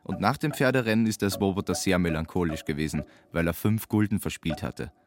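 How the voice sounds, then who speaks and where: A man's voice: sits low, fairly quick, soft background music. A man narrating a literary audio drama.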